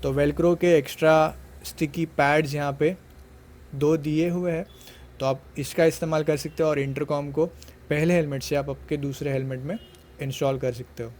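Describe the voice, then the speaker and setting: A man's voice: on the high side, fairly even intonation, normal speed, a little mellow. A man narrating a product unboxing and review, explaining things step by step and mixing in English words.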